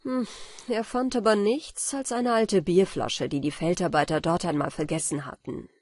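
Woman, audiobook narrator, husky soft-edged voice, dry close sound, gentle measured fairy tale reading. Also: lowish voice, no echo.

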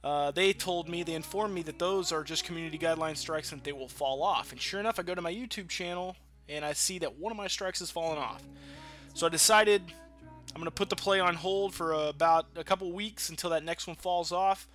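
A man with a fairly high, bright voice chats through a let's-play. He rambles informally and swears freely. Soft game music plays behind him. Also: a little fast. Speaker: gaming channel host. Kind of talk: casual let's-play commentary.

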